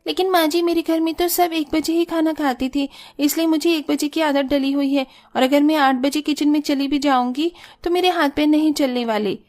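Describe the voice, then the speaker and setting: Woman as a high-voiced, breezy young daughter-in-law. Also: steady tone, moderate pace, hardly any pauses, darkish tone, lightly acted. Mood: defiant. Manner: airy, excusing. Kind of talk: cartoon family drama acting.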